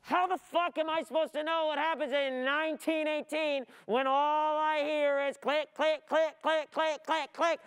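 Anxious young student played by a woman, reverberant hall. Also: high-pitched. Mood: panicked, overwhelmed. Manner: agitated, rambling inner monologue, repeating words. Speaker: actor playing a student. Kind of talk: theatrical radio-play monologue. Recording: long hall reverb of a stage.

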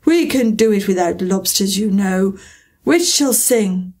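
A woman voicing a melancholy male turtle in a storybook, with a drawn-out, solemn delivery. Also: medium pitch, animated, a little mellow. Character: melancholy old male turtle, sobbing and nostalgic.

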